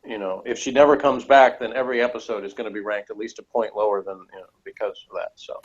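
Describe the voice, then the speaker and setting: A man's voice: lowish voice, fairly even intonation, fast, muffled recording. A man co-hosting a review show, giving his opinions in a casual, conversational way. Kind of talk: casual episode review chat.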